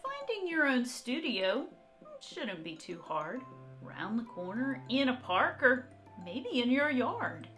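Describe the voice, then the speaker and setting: Woman, high voice, very lively intonation, children's read-aloud, encouraging teacher, light music behind. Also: takes it slowly. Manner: encouraging, expressive, addressing children directly. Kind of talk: children's read-aloud and talk to young kids.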